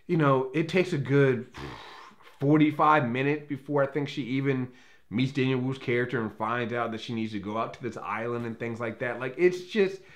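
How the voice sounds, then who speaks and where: A man's voice: medium pitch, normal speed. Male film reviewer, casual and unscripted, opinionated, emphatic delivery, mid-range voice, freely profane.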